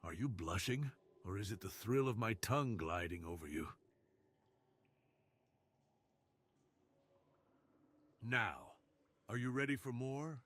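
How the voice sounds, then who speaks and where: A man voicing an alluring, dominant crime boss in an intimate ASMR roleplay, speaking in a slow, teasing, hushed way. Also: fairly low pitch, expressive. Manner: slow, teasing, hushed delivery that drops into whispers. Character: alluring, dominant, seductive male crime boss with a tender side.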